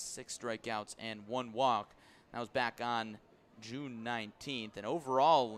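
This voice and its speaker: A man hosting a baseball radio pregame show, with a polished, measured announcer's delivery. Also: mid-range voice, a bit bright, smooth. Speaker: baseball radio play-by-play broadcaster. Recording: background ambient noise.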